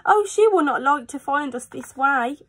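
A woman's voice: high voice, expressive, moderate pace, fairly bright tone, pitched above the performer's own voice. A woman voicing an anxious, scolding pet fish in a storybook, with urgent, repetitive exclamations. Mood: panicked.

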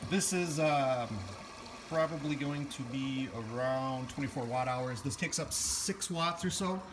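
A man's voice: mid-range voice, measured pace, background noise. A man giving a casual, unscripted show-and-tell of a hobby project, thinking aloud as he goes. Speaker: hobbyist maker presenting a project. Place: indoor room, workshop or office. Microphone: handheld phone or camera, operator behind it. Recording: music playing loudly over the voice. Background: radio music.

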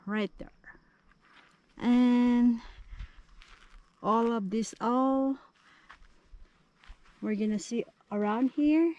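A woman with a Filipino accent vlogs a hike outdoors on her phone, talking very slowly in a fairly high voice. She keeps admiring what she sees with short, repeated exclamations. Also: dry recording, muffled recording. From Philippines. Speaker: vlogger. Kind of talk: casual hiking vlog chat. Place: outdoors on a canyon trail.

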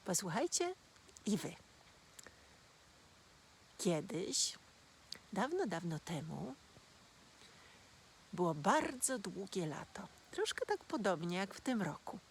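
A woman gently telling a children's fairy tale as a storyteller. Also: mid-range voice, very animated, slow pace, pauses often, a little hiss or hum.

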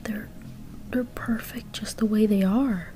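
A woman doing a gentle bunny boy who talks low and soft, with a steady, unhurried voice and a dark, mellow tone. Kind and a little shy, he sounds like a sweet figure from a whispered bedtime roleplay tale. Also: lightly acted. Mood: puzzled.

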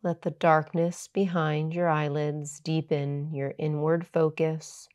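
A woman yoga teacher narrating a guided meditation in a soothing, measured, unhurried way. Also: low voice, level tone, darkish tone.

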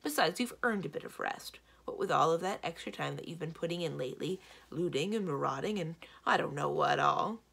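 A woman's voice: low-pitched, expressive, a little fast, gravelly, few pauses, fairly bright tone, breathy edge, heavily acted. Woman as scolding, no-nonsense giantess wife. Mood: exasperated. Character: bossy, practical giantess wife, fond and scolding.

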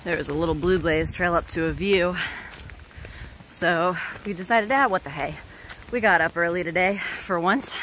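A woman's voice: on the low side, normal speed, a bit bright, a little hiss or hum. A woman vlogging from a hiking trail, chatting casually to the camera outdoors.

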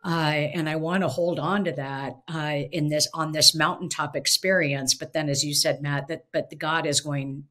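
A woman's voice: sits low, steady tone, normal speed, hardly any pauses, a bit bright. Middle-aged woman, professor co-host, reflective and musing, webcam sound from a home office. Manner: reflective, thinking aloud. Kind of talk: casual theological discussion. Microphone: video call webcam.

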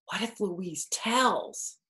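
A woman's voice: medium pitch, lively intonation, extremely slow, pushed and bright, heavily acted. A woman reading aloud and voicing a worried young boy fox, the fretful big brother in a picture book. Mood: anxious. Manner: exclaiming, worried.

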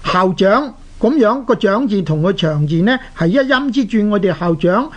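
Radio educator, sex open, Hong Kong Cantonese accent. Mid-pitched, didactic, phrase-by-phrase explanatory delivery. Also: lively intonation, lo-fi sound. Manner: didactic, deliberate, short phrases with drawn-out particles. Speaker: radio language teacher and presenter. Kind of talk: explanatory radio lecture on Chinese characters.

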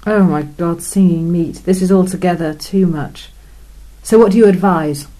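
A woman's voice: lowish voice, normal speed, warm tone, natural voice, low-quality recording. A woman voicing an incredulous female alien official who questions everything in a wry, skeptical way in a two-voice science fiction story reading.

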